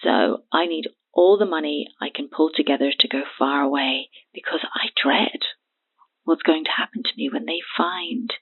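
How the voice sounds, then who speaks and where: A woman in her twenties playing a haunted, terrified witness in an audio horror drama, speaking haltingly and hushed through a telephone filter. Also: deep voice, animated, heavily acted. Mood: despairing. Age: 20s. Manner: halting, hushed, breathy delivery. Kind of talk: audio horror drama acting, phone confession. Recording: thin phone-line sound.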